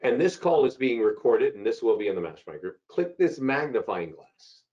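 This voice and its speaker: A man with a hoarse voice from a cold, hosting a live software webinar and chatting through questions from viewers. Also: medium pitch, big pitch swings, normal speed. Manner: chatty, repeats himself, answers chat questions. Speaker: webinar host. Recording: dull lo-fi call audio.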